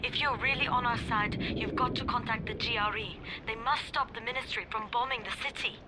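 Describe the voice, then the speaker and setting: A woman's voice: on the high side, steady tone, fairly quick, heavy background noise, lightly acted. A woman playing an earnest, cautious resistance fighter in a video game. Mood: urgent. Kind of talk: video game cutscene acting.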